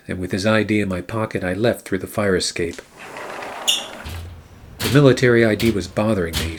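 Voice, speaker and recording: Man playing a low-voiced, hard-boiled private eye. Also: slight background noise, lightly acted. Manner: deadpan, terse. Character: hard-boiled male private eye narrating, a wry war veteran. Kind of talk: scripted noir radio play narration. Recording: music behind the voice. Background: music.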